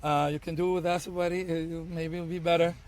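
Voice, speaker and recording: A man in his thirties, Arabic his first language, talks in a lively, storytelling way, acting out his own past lines with many restarts. His voice sits a little higher than most men's. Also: fast talker. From Tunisia. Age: 30s. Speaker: bartender guest. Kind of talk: casual podcast conversation.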